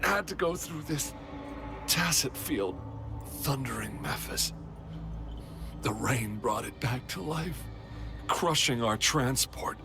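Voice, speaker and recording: A man playing a wounded, exhausted, fearful supply soldier in a game cutscene, halting and struggling for breath. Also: medium pitch, expressive. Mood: shaken, exhausted. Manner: halting, strained. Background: music.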